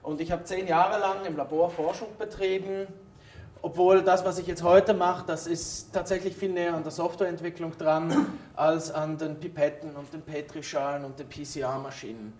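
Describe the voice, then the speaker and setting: A native German-speaking man gives an explanatory talk in an informal manner. His voice sits high for a man. It reaches the listener from some distance, with a clear room echo around it. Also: level tone, normal speed, a little mellow. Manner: informal, addresses audience with questions. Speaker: conference speaker, former molecular biologist. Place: conference room, talk before an audience. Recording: roomy echo, distant microphone in hall.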